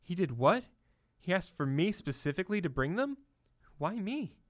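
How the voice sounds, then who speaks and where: A man's voice: big pitch swings, normal speed, no echo, clean studio sound. A man voicing a nervous, lovestruck young male bellboy who whimpers and frets. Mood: incredulous. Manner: nervous, high-strung and whimpering.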